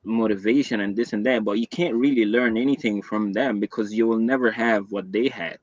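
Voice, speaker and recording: A man's voice: lowish voice, steady tone, brisk, no room sound. A man from Egypt talking bluntly and matter-of-factly as a guest in a casual interview. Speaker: guest reseller. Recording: dull dry lo-fi sound.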